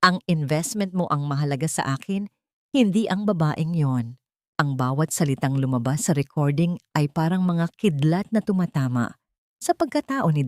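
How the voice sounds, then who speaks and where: Low-voiced woman narrating dramatically. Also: a little mellow, no echo, clean studio sound. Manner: solemn, suspenseful.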